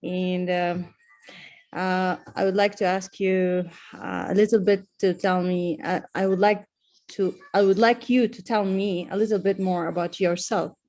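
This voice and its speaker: Woman doctor asking interview questions, lowish pitch, halting phrasing, video call. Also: fairly even intonation, a little mellow. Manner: hesitant, searching for words. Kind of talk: practice job interview.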